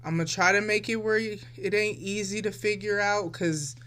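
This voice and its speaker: A woman's voice: medium pitch, steady tone, normal speed, fairly bright tone, a little hiss or hum. A woman of forty hosting a casual solo podcast, talking in a reflective, loose and chatty way straight to her listeners. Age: 40s. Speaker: podcast host.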